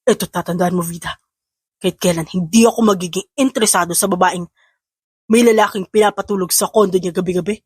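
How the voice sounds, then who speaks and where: A woman playing an intense, possessive man in a Tagalog audio drama, with a husky voice. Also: fairly low pitch, steady tone, fast talker, darkish tone, dry recording, clean studio sound. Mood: cold, threatening. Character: intense, possessive young man, threatening and lovestruck.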